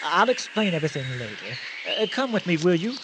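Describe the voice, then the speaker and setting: An actor playing a fussy, formal gentleman archaeologist, speaking precisely and pompously in old-fashioned phrases for a radio drama. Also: fairly high pitch, big pitch swings, fairly quick, noisy background. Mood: dignified, composed.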